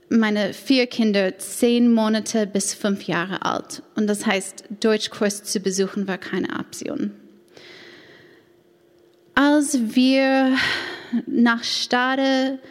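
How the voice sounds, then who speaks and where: Slow-speaking American woman in an echoing hall. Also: fairly high pitch. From United States. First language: English. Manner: slow, earnest, careful delivery with occasional grammar slips. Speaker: church women's ministry leader. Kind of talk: personal testimony talk. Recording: long hall reverb.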